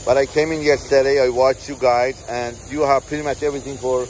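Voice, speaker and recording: Man, trade show host, halting casual talk, faint hall noise, handheld camera. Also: mid-range voice, level tone, darkish tone. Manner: halting, searching for words. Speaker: tool company owner and host.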